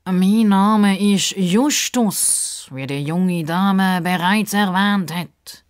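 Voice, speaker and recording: Woman putting on a pompous, slow-talking male crocodile. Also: mid-range voice, voice pushed up. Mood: grandly self-important. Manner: pompous, drawn-out, over-polite formal speech.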